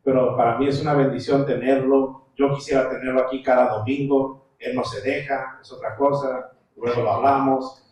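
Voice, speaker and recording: A man introducing the guest pastor to the congregation, joking warmly and rousing the crowd. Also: medium pitch, steady tone, moderate pace. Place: church sanctuary. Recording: very echoey distant hall sound with faint noise.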